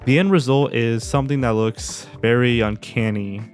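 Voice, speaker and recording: A man's voice: medium pitch, moderate pace. Man, mid-range voice, scripted narration, countdown video narrator, faint music bed.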